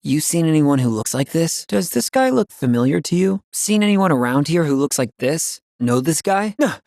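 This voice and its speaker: Easygoing young soldier, lively intonation. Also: male voice, on the high side, moderate pace, creaky, no echo, studio quality. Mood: casual, determined. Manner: casual, boyish, earnest. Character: easygoing, upbeat young male ex-soldier, loyal and earnest. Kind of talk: video game voice acting.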